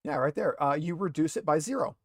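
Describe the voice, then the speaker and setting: A man's voice: on the high side, brisk, no room sound. Informal man running a game, close dry sound. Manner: informal, explanatory. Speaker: game master. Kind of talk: running an online tabletop roleplaying game.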